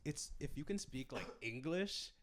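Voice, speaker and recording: A man's voice: mid-range voice, measured pace. A young man from the Philippines chatting casually as a podcast co-host, swearing freely. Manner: casual, swears freely, animated when quoting family. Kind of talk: casual chat. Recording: noisy recording.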